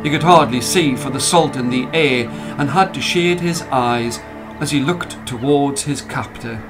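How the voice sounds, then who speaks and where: A man's voice: medium pitch, measured pace, poor audio. A man narrating a scripted story as a dramatic storyteller. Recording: music playing under the voice.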